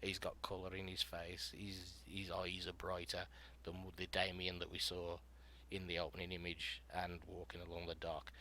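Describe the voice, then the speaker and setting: A man with a deep voice and a bright, forward tone hosts a writing podcast panel. He explains story prompts casually and narrates scenes aloud, leaning often on "you know" and other fillers. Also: monotone, normal speed, few pauses, a little hiss or hum.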